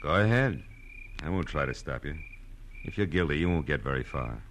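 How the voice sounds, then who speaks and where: Low-voiced hard-boiled radio detective. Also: male voice, expressive, a little fast, a bit bright. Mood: wary, challenging. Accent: American. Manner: clipped, sardonic, tough-talking. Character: hard-boiled wisecracking male private detective. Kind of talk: 1940s radio crime drama acting and narration. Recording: noisy vintage radio recording.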